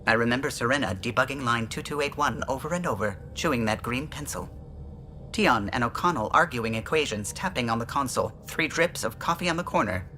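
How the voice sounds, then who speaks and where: A lively, precise alien starship pilot. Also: male voice, medium pitch, a little fast. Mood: nostalgic. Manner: chatty, fond reminiscing, precise. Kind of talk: video game voice acting. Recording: music behind the voice.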